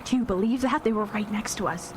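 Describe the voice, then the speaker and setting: A woman's voice: mid-range voice, quick pace, lightly acted. An actress playing a sarcastic, headstrong fifteen-year-old girl in a live radio drama, with a dry, teasing delivery. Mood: wry, uneasy. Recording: noisy live radio recording.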